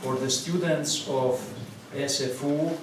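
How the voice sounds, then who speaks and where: A man's voice: on the high side, fairly even intonation, slow. A man, a native Slovenian speaker, organizing a seminar and making announcements, businesslike with hesitant "uh"s in English. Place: seminar room. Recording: very echoey room, far from mic, loud noise.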